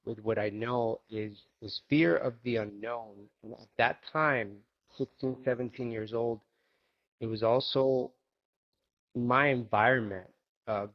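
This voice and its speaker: A man in his forties, born in Argentina and raised in Miami, telling his life story as a guest, thoughtful and halting, often restarting his sentences. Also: mid-range voice, highs cut off. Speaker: guest, yoga instructor and coach. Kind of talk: reflective personal storytelling.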